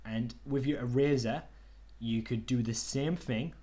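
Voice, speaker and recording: A man's voice: medium pitch, unhurried. Laid-back young man teaching on a call. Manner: relaxed, chatty, says "okie dokie" and "kind of". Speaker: online workshop tutor. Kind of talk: casual screen-share tutorial.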